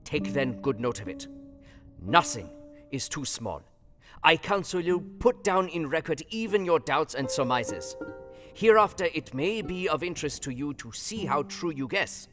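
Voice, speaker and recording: An animated, wise old professor. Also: male voice, fairly high pitch. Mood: grave, earnest. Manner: halting, emphatic, foreign-phrased counsel. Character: wise older Dutch professor, grave, kindly, eccentric mentor. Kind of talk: audio drama acting. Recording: long reverb, music behind. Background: guitar music.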